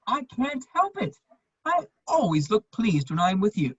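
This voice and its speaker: A woman playing a charming, lovestruck gentleman in his thirties in a comedy of manners, witty and earnestly pleading, on a muffled recording. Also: mid-range voice, sing-song, a little slow, breathy edge, no room sound. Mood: dry charm. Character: foppish, lovestruck gentleman just over thirty, charming wit. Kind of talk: stage comedy of manners, dramatic reading.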